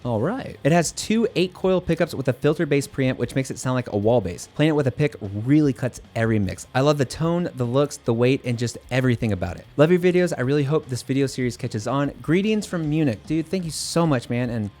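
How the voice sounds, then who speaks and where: Excited young man reading viewer mail. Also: medium pitch, fairly quick, hardly any pauses. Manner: enthusiastic, casual, laughs often. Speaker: host of a bass channel. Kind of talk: reading viewer emails and reacting. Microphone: home studio microphone.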